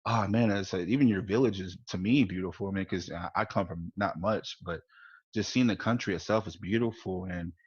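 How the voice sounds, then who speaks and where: Low husky guy hosting a podcast, friendly, kinda muffled lo-fi sound. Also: fairly quick, dry recording. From United States. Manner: friendly, encouraging interviewer. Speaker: podcast host. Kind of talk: casual interview conversation.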